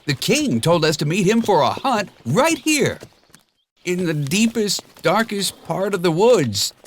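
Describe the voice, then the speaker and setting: A man voicing a fast-talking, chatty male donkey sidekick who talks high and excitable. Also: exaggerated voice. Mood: nervous.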